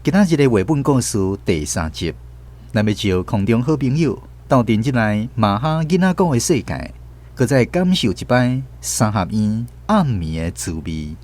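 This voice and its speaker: A man's voice: medium pitch, big pitch swings, moderate pace, a little mellow. A man with a Taiwanese accent hosting and narrating stories in a scripted radio storytelling style. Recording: music bed behind the voice.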